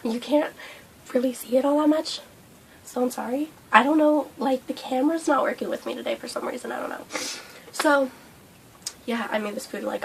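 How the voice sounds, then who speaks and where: A young woman vlogging a breakfast mukbang, hoarse and losing her voice from a cold, rambling with lots of fillers. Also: a little high, a little hiss or hum, low-quality recording. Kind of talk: casual mukbang vlog chat. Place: indoors at home under a hot light.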